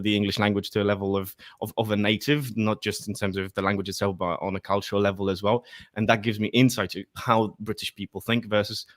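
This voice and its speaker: A man from Poland, a native Polish speaker, giving conversational interview answers in English as a guest, haltingly repeating words as he thinks. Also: fairly low pitch, a bit bright, no echo. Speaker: interview guest, workplace culture facilitator.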